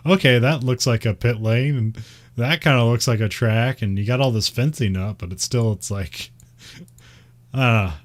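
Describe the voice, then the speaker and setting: A man's voice: medium pitch, a little slow. A man with a Midwestern American accent, chatting casually and rambling, with frequent laughs and fillers. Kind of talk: casual chat about racing.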